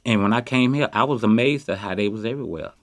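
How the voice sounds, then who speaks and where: A man's voice: fairly low pitch, fast, no room sound. A man in his fifties from Chicago, talking boastfully in street slang while interviewed on a city street. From Chicago, USA. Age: 50s. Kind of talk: candid street conversation. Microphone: handheld mic.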